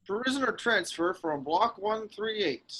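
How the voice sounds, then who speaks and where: A man's voice: high voice, animated, unhurried, pushed and bright, husky, full character voice, poor audio. A man acting an eager, earnest young farm boy hero in a table read. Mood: tense. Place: virtual call from separate location.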